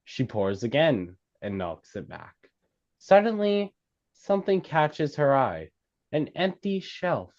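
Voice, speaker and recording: A man reading screenplay stage directions at a table read, halting and stumbling over words as he goes. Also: on the high side, sing-song, a little mellow. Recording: slightly dull, lo-fi sound.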